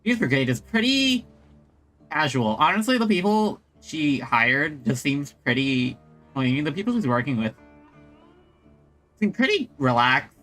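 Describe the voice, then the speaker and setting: A woman streamer chats casually through a video game in a rambling way. Her voice is bright and lively in pitch, with game music behind it. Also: unhurried, long pauses. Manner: rambling, chatty. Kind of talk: casual gaming commentary.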